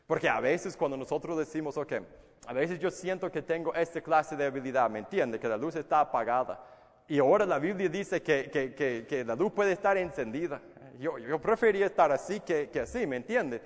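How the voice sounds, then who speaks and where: A man's voice: a little high, lively intonation, brisk, in a large hall. A man preaching a sermon in Spanish, a language he learned as an adult, earnest and emphatic, often repeating words and restarting phrases. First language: English. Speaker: pastor.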